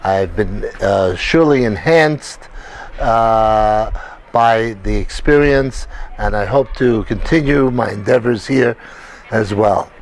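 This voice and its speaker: A man's voice: fairly low pitch, slow. A man giving a formally worded testimonial as a student, hesitating often between phrases. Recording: faint background ambient noise.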